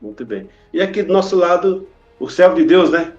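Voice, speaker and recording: Chatty higher-voiced male podcast host. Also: lively intonation, a little fast, a little mellow. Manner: chatty, jumps in with short reactions. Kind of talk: casual interview hosting. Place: podcast studio. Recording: roomy, muffled studio audio with faint music.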